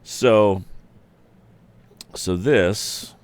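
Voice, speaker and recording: A man's voice: on the low side, extremely slow, clear, resonant tone. A man in the role of storyteller and host, talking casually and informally in a musing, self-deprecating way. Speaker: storyteller, host reading books aloud. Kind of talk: casual chatty introduction and asides.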